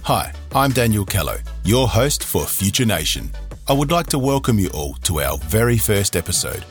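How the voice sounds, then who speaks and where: A man hosting a podcast, reading a formal, measured introduction and interviewing a guest. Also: lowish voice, sing-song, over music. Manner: formal, measured, read-out delivery. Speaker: podcast host.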